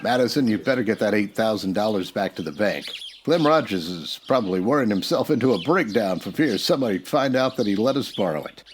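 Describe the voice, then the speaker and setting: An actor playing a steady, patient Old West marshal in a radio western, straight-faced and measured. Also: male voice, lowish voice, a little hiss or hum, lightly acted. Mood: calm.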